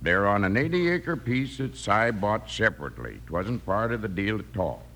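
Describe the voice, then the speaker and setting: Deep-voiced, lively frontier clerk. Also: male voice, moderate pace. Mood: matter-of-fact. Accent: American. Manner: folksy, matter-of-fact. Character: plainspoken, friendly frontier land-office clerk, cautious. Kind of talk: 1950s old-time radio Western acting. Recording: old radio recording, faint noise.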